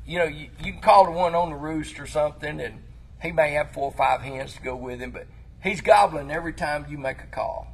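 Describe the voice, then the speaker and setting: A man with a Tennessee Southern accent and a hoarse voice, chatting casually and folksily as host of an outdoors talk show. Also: a little high, fairly quick, poor audio. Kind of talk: casual hunting chat.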